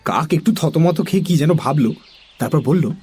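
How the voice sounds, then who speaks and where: A curious young storyteller, voiced by a man tells a children's nonsense tale in a high, very lively voice. Faint music runs underneath. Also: moderate pace, close to an everyday voice. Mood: animated. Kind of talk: animated scripted narration of a children's story.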